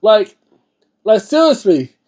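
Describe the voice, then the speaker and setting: Male book-reading host, high voice with a soft, dark tone. Informal, halting delivery with frequent stumbles and repeats. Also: big pitch swings. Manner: slangy, informal asides. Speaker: host of a read-aloud book series. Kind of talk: dramatic book reading with informal spoken commentary.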